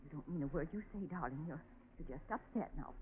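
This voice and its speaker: A woman's voice: low voice, expressive, fast talker. An actress playing a fretful, doting middle-aged mother, anxiously pleading and cooing in 1940s radio drama style. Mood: anxious, soothing. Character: fretful, doting mother soothing her grown daughter. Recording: noisy, tinny 1940s radio recording.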